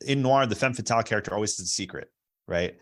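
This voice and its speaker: A man's voice: fairly low pitch, fast talker. An American man hosting a casual interview, talking in a reflective, explanatory way. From Baltimore, US East Coast. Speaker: podcast host, producer.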